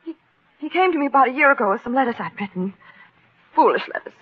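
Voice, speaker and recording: An actress playing an anxious, trusting wife in a 1940s radio melodrama, hesitant, breathless and emotional. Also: female voice, high voice, animated, fast talker, slightly rough. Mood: anxious, confessing. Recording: tinny, narrow old radio broadcast.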